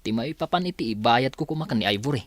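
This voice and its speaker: A man's voice: mid-range voice, animated, fast, no room sound, natural voice. A man with a Filipino accent acting a proud, evasive young boss's son in a comedy radio drama, defensive and hesitant. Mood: troubled.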